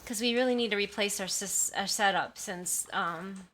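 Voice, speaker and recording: A woman's voice: mid-range voice, moderate pace, a bit bright. A woman livestreamer chatting casually with her viewers, rambling and often apologizing, on a sensitive desktop mic in a room at home. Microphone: desktop condenser mic at high sensitivity.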